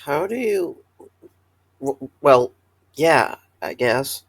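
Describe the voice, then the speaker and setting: An actor playing a tired, hesitant young man in an audio drama, speaking haltingly and warily. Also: mid-range voice, lively intonation, husky, no room sound, full character voice. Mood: wary.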